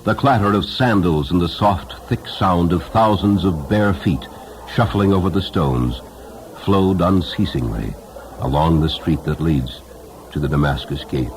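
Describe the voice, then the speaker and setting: Deep-voiced man narrating a solemn story. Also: slight background noise, poor audio. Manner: solemn, deliberate, expressive delivery. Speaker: narrator, audiobook reader. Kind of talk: scripted dramatic storytelling narration.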